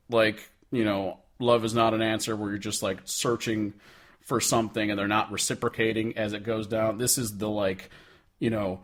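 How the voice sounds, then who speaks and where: A man's voice: mid-range voice, fairly bright tone. A man co-hosting a podcast, talking casually about music in a rambling, emphatic way with plenty of swearing. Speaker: podcast co-host. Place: indoors, remote call between hosts.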